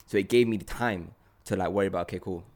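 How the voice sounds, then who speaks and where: Young man, low-pitched voice, casual podcast chat, rambling slangy storytelling. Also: fast talker, darkish tone. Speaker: podcast co-host.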